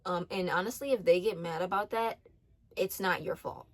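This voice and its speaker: Young woman around twenty, lowish voice, earnest faith talk, lots of ums and restarts. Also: normal speed. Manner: earnest, repeats herself, many ums and restarts. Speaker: faith vlogger. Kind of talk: casual advice talk.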